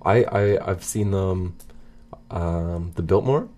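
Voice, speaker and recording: A man co-hosting a radio show, chatting casually about music in a slightly halting way. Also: low voice, mellow, dull audio. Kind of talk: casual music chat between songs.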